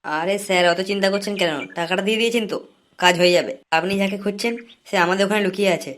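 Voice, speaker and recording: A man's voice: sits high, steady tone, rapid-fire, a bit bright, a little hiss or hum. A man voicing a cagey, money-minded young informer in a comic cartoon, wheedling and chatty. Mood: eager, bargaining.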